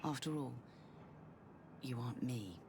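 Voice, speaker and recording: A woman's voice: rumbling low, expressive, unhurried, background noise, full character voice. An actress playing a guarded, anxious middle-aged mother, wary and pleading, in a video game. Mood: worried. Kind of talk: video game cutscene acting.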